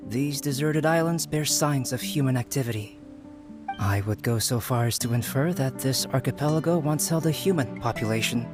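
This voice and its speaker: Guy playing a smart calm analyst in a video game, mid voice, articulate n formal, music going behind him. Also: mid-range voice, normal speed, close to an everyday voice. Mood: explaining, thoughtful. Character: composed, erudite young man, scholarly analyst. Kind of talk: scripted video game voice acting.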